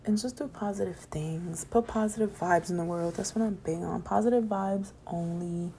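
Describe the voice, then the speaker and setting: Casual late-night podcaster woman, gravelly. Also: lowish voice, normal speed, mellow, a little hiss or hum. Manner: casual, rambling, upbeat and preachy about positivity. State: voice getting raspy from talking late. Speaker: podcast host.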